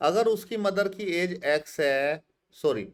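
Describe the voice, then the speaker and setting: A man in his fifties teaches math slowly and patiently. His voice sits a little high for a man. He stops often to ask his students questions and repeats their answers back to them. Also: expressive. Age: 50s. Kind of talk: classroom lecture mixing Hindi and English.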